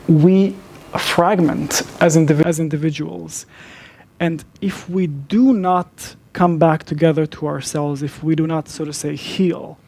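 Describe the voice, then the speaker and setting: A man from Serbia giving a public talk, measured in pace, often restarting his phrases. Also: a little high, gravelly, darkish tone. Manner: measured, with frequent restarts and fillers. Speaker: public speaker. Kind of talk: lecture presentation.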